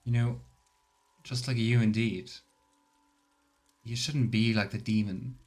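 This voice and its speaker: A man's voice: mid-range voice, fairly even intonation, slow, darkish tone. A man hosting a live let's play, chatting casually and thinking aloud as he comments. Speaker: streamer, let's play host.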